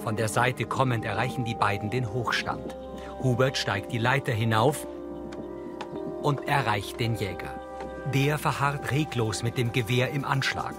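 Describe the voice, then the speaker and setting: A man reading scripted audio description in a measured, neutral way. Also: mid-range voice. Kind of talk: scripted narration. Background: background music.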